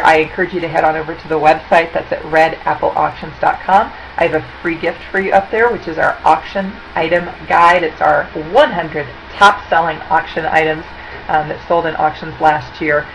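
A woman's voice: low-pitched, fairly even intonation, brisk, fairly bright tone, slight background noise, poor audio. A woman in her forties, speaking to a webcam in a chatty, upbeat, conversational way as she presents tips over slides. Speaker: auction consultant presenting tips. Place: indoor room. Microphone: webcam at desk.

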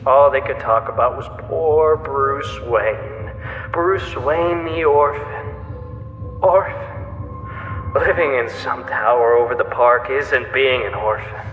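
An actor playing an unhinged, bitter, obsessive serial killer in an audio drama, halting and menacing, moving between breathy hush and outbursts. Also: male voice, mid-range voice, narrow, tinny audio, close to an everyday voice. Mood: bitter, resentful. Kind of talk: theatrical audio drama monologue. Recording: echoey reverberant hall, faint music behind.

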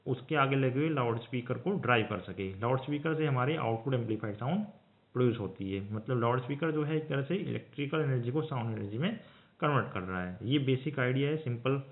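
A man's voice: medium pitch, expressive, a little fast. A man lecturing in an explanatory, didactic way, rephrasing each point as he goes. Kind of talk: explanatory slide lecture mixing Hindi with English technical terms. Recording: thin phone-like band-limited audio.

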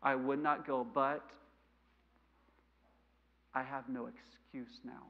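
A man in his thirties with a mild stutter, preaching a sermon in a church sanctuary, animated and gesturing, swinging between loud emphasis and quiet asides. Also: mid-range voice, slow pace, creaky. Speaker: missionary preacher. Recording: lo-fi church recording.

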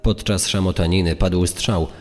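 A man narrates dramatically from a script in a very low voice. Long reverb surrounds him, and music plays underneath. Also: fairly even intonation, normal speed.

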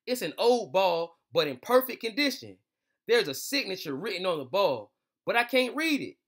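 A man's voice: high-pitched, very animated, moderate pace, a bit bright. A young man hosting a children's read-aloud show, speaking informally and straight to his young listeners. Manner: informal, slangy, addressing young listeners directly. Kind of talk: casual intro and storybook read-aloud. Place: small room indoors.

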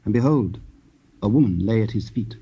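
A man's voice: on the low side, expressive, moderate pace, dark tone, husky. A man giving solemn, scripted narration of scripture. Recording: dull, lo-fi old cassette.

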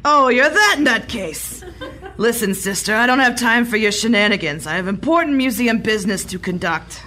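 A woman's voice: fairly high pitch, animated, normal speed, pushed and bright. A woman playing a brassy, wisecracking 1940s secretary in a comedic audio drama, brusque and snippy.

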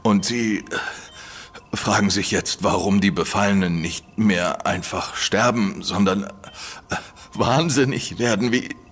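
An actor playing an infected man who fears going mad, pleading in halting, stumbling bursts in a radio play. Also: fairly bright tone, slightly rough, exaggerated voice. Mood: desperate urgent. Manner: halting, stumbling pleas, pitch jumping when agitated. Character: infected man fearing madness, urgent and desperate.

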